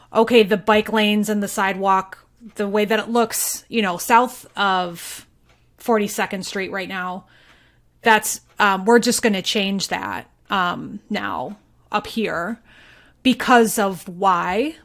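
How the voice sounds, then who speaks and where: Animated woman in casual podcast conversation. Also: medium pitch. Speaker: local news reporter, podcast guest.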